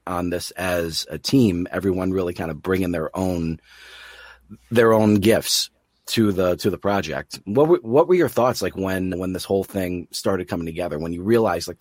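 A man's voice: deep voice, darkish tone, no echo. A man hosting a casual interview, informal in his talk and rephrasing his questions as he goes. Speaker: podcast host, interviewer.

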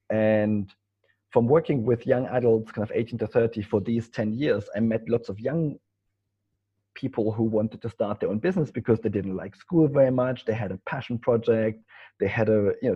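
A man's voice: on the low side, dark tone, breathy edge, muffled recording. A middle-aged man from East Germany with a German accent, talking loosely as a podcast guest, with many fillers and restarts. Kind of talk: casual interview talk.

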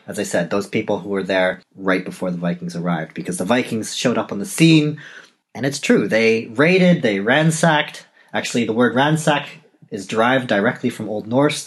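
A Canadian man in his thirties hosting a solo podcast, talking casually and digressing with frequent self-corrections. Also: mid-range voice. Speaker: podcast host and novelist.

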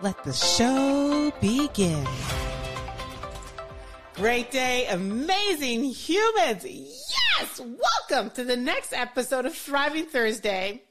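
A woman's voice: high voice, sing-song, slow pace, over music. A woman hosting a podcast, talking enthusiastically in casual chat with many restarts and "you know"s. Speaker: podcast host.

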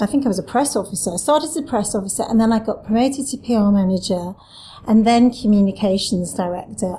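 A woman's voice: medium pitch, dark tone, slight background noise, low-quality recording. A woman in her forties, a communications director answering interview questions in a chatty way with self-deprecating humour. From Wales. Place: office.

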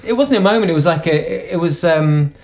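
A singer-songwriter from the UK, talking in an interview about his singing, with a high voice and halting speech full of restarts. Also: animated, darkish tone. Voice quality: self-described high voice. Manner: halting, many restarts and repeated words. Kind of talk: conversational interview.

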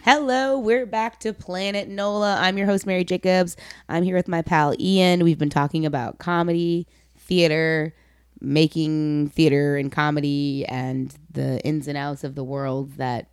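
Female podcast host, low register, dry close sound, casual and earnest interviewer. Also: steady tone, a little slow, clear, resonant tone, no echo, soft background music.

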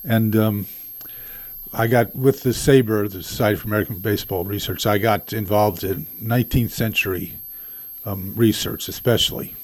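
An older man guesting on a radio show as a baseball historian, answering in a measured way with frequent ums. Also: on the low side, no echo. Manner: measured, many ums and uhs.

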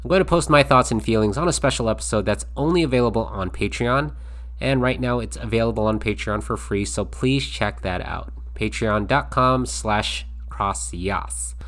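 A man hosting a casual podcast, animated and quick to laugh as he talks. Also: on the low side. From United States. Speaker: podcast host.

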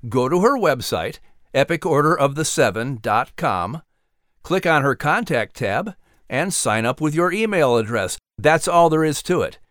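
A man's voice: a little high, sing-song, a little slow, fairly bright tone, dry recording, clean studio sound. A man delivering scripted narration as the announcer of a children's story podcast.

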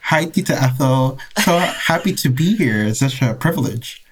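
Friendly man guest, hesitant and warm. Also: medium pitch, expressive, normal speed, husky. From Philippines. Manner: friendly, hesitant with restarts and laughs. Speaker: guest, professor. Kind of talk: casual interview answers.

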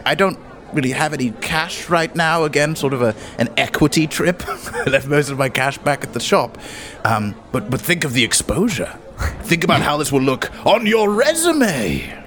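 A man's voice: fairly high pitch, big pitch swings, moderate pace, a bit bright. A man voicing a flustered, cowardly but boastful goblin merchant in a tabletop game, stammering and wheedling like a salesman. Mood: nervous wheedling. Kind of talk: tabletop actual play character acting.

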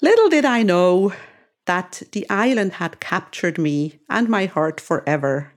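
A woman's voice: on the low side, animated, measured pace. A woman in her sixties from Switzerland, hosting a podcast and telling her memories in a reflective, nostalgic way. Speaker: podcast host. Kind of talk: memoir storytelling narration.

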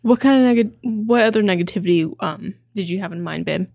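Halting young woman podcast co-host. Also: medium pitch, creaky, a little mellow, dry recording, studio quality. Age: young adult. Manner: halting, with stumbles and restarts. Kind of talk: casual podcast chat.

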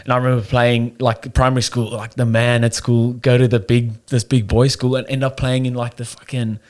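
A man's voice: mid-range voice, little pitch movement, brisk, a little mellow. South African man chatting candidly. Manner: candid, swears, restarts a lot. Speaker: podcast guest. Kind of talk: casual chat.

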